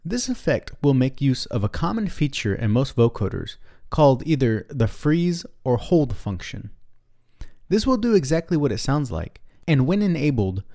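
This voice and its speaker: Male tutorial narrator with lively, swinging intonation. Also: medium pitch. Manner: methodical, step-by-step explaining. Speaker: tutorial instructor. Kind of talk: scripted instructional narration.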